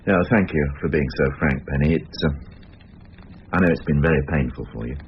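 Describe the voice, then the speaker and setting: An actor playing a world-weary police detective in a radio drama, questioning gently and deliberately. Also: male voice, rumbling low. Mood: sympathetic. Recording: dull, hissy radio drama recording.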